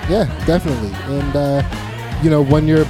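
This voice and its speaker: A man's voice: fairly high pitch, brisk, music in the background. A man hosting a podcast, chatting casually and often circling back to rephrase as he goes. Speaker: podcast host. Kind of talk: casual conversational chat.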